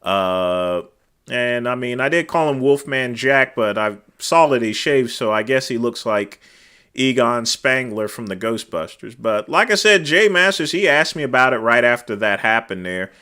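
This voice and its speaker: Man hosting a livestream, loud carrying voice, bright and forceful tone, rambling with fillers, trailing off quietly, desk mic. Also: mid-range voice, expressive, moderate pace, clean tone. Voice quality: naturally loud, cutting voice. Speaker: livestream host. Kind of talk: casual chat reading viewer comments. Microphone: desk microphone.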